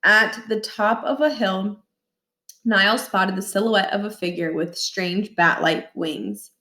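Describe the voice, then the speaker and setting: A woman reading a children's novel aloud as expressive, scripted narration. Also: medium pitch, slow pace. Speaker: storybook reader. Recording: roomy echo.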